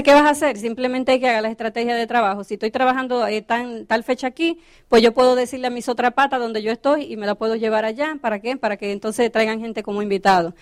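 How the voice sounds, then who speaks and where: A woman's voice: fairly high pitch, no room sound. A woman giving a motivational business training talk, often checking in with her audience. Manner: coaching, asks the audience questions.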